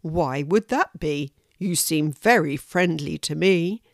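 Woman putting on a gentle, kindly male hedgehog. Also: deep voice, takes it slowly, no echo, studio quality. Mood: gentle concern. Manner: gentle, plain-spoken, concerned. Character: kindly, polite male hedgehog with spiky spines. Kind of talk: children's storybook character voice.

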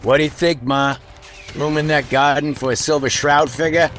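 A man's voice: fairly high pitch, fairly even intonation, moderate pace, a bit bright, a little hiss or hum, close to an everyday voice. An actor playing a brash, showboating male mob boss in an old-time radio play, taunting and barking orders. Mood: gloating.

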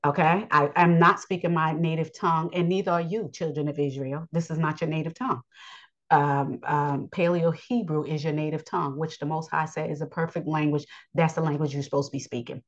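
A woman's voice: low voice, fairly even intonation, brisk. A woman from the United States giving an unscripted Bible lesson, earnest and repetitive with frequent ums. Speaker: Bible teacher. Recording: dull lo-fi audio.

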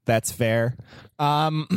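A man hosting a podcast in casual chat, chatty and quick to laugh, with hedging asides. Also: a little high, clean tone, no room sound, studio quality. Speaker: podcast host.

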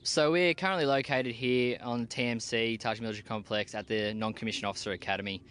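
A young Australian man, an army team leader, answering interview questions outdoors in a plain-spoken, relaxed way with casual slang. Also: medium pitch, quick pace, slight background noise. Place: outdoors at a military base.

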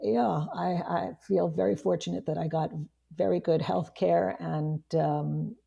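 A woman in her fifties with a hoarse voice, a guest chatting casually in an interview, rambling with many restarts. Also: on the low side, fairly even intonation, a little slow, warm tone, muffled recording. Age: 50s. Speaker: guest, online marketing strategist.

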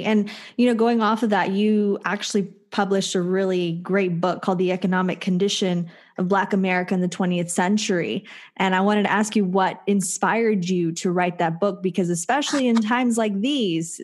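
A woman's voice: medium pitch, steady tone, moderate pace, a little mellow. A woman interviewing an author, supportive and encouraging as she asks questions. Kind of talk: conversational interview.

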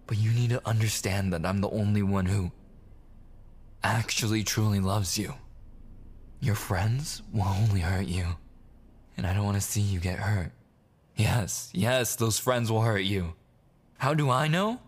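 Man acting slow, low-voiced possessive yandere boyfriend. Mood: agitated, suspicious. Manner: pleading, halting and repetitive, slipping into breathy whispers. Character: possessive, jealous, obsessive yandere boyfriend, pleading and menacing. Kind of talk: boyfriend ASMR audio roleplay, intimate soft speaking and whispering.

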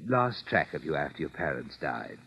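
A man playing a genial, chatty older lawyer who quietly probes with tag questions, in an old-time radio drama. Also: very deep voice, very animated, moderate pace, gravelly, slightly rough, heavily acted. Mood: genial, probing. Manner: avuncular, repeats himself, trailing tag questions. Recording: muffled old radio recording.

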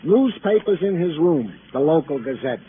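An actor playing a fussy, grumbling police sergeant as 1950s radio comic relief, talking in pompous officialese. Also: male voice, a little high, big pitch swings, normal speed, narrow, tinny audio. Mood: officious. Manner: pompous officialese mixed with complaining. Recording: thin, noisy old radio broadcast.

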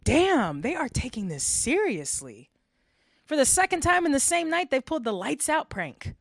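A woman's voice: high-pitched, big pitch swings, normal speed, vocal fry, full character voice. A woman voicing a fed-up late-night radio host who talks straight to her listeners. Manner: sarcastic, talking straight to listeners.

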